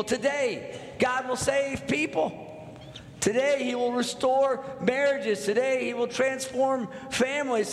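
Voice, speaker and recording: A man's voice: very high voice, slight background noise. A pastor in his thirties from North Texas with a Texan accent, preaching a sermon earnestly, building with repeated phrases and asking the congregation questions. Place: church sanctuary. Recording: echoey hall with long reverb.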